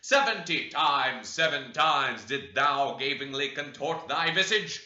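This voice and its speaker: A man's voice: mid-range voice, expressive, a little slow, bright, forceful tone, reverberant, highs cut off, pitched above the performer's own voice. A man reading an audiobook, voicing a fiery old preacher who declaims judgment in solemn, archaic sermon style. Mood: thundering.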